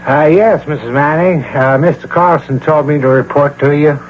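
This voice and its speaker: A man's voice: on the high side, normal speed, lightly acted. An actor playing a polite, worldly male private detective with a dry, matter-of-fact manner in a radio drama. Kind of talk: 1980 radio drama acting. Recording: dull, hissy old radio broadcast.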